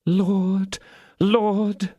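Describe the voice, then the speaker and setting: A man's voice: sits high, little pitch movement, drawn-out words, mellow, no echo, studio quality, voice pushed up, full character voice. A man voicing a scheming woman prisoner who pleads and prays in feigned, sobbing despair. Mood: praying.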